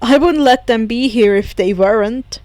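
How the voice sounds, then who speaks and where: A woman voicing an earnest, expressive teenage boy in a dramatised story reading. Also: fairly high pitch, normal speed, dry recording, voice pushed down, natural voice. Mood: gentle, reassuring. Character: teenage boy, excitable, earnest son of a villain.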